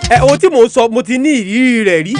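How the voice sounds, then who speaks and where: A man's voice: very high-pitched, rapid-fire, music in the background. A man with a Nigerian Yoruba accent hosting an educational radio talk, giving emphatic advice and repeating his phrases. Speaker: radio host. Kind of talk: educational radio talk, advising parents and students.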